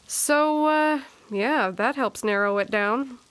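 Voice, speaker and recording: Audio drama narrator, female actor, slightly high voice, conversational and playful, dry asides. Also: slow. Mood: wry. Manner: wry, chatty asides. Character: wry, chatty female narrator of a murder mystery.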